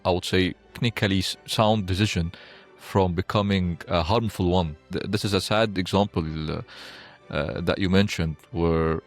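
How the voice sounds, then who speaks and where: A man hosting a podcast interview, speaking in a measured, hesitant way with fillers. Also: low voice, steady tone, faint music behind. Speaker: podcast host. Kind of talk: conversational interview.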